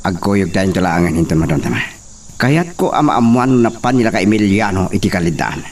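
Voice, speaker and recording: A man's voice: deep voice, sing-song, a little fast, a little mellow. A man with an Ilocano accent playing a ruthless, scheming, hot-tempered young landowner's son in an audio drama, menacing and domineering. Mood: tense, plotting. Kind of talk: radio-style Ilocano drama acting. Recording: distant mic, noisy, chirping crickets behind.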